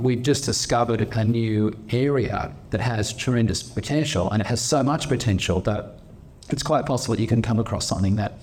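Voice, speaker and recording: A man's voice: on the low side, a little mellow. A man speaking on a panel at a live event, opinionated and fond of everyday analogies. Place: conference hall panel. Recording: live event audio, faint noise.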